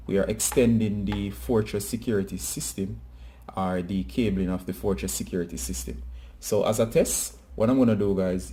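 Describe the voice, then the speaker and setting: A man's voice: fairly low pitch, mellow, a little hiss or hum. A man presenting a casual hands-on how-to tutorial, talking directly to viewers.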